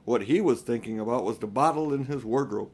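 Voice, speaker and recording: An older man narrating a children's audiobook in a deliberate, phrase-by-phrase manner. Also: medium pitch, a little mellow, slightly rough. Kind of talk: scripted storybook narration.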